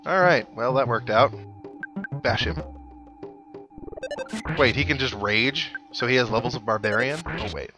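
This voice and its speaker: A man with a medium-pitched voice gives casual commentary on a game he is playing. He is wry and dry-humoured, and game music plays clearly behind him. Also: a little slow, pauses often, dull audio. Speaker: host of a gaming playthrough series. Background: video game music.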